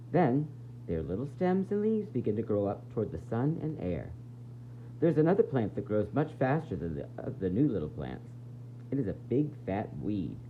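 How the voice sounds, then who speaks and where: Animated male storybook reader, tinny sound. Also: mid-range voice. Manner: expressive, explanatory, addressing children directly. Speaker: preschool teacher reading a picture book aloud. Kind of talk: storybook read-aloud for young children. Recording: tinny phone-like audio with faint noise.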